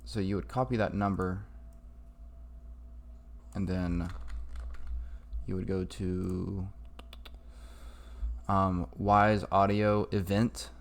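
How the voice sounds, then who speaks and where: A man with a low voice walks through a casual computer tutorial. He takes his time, speaking slowly and informally as he improvises each step. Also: little pitch movement. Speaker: tutorial maker. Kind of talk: casual screen-recorded tutorial.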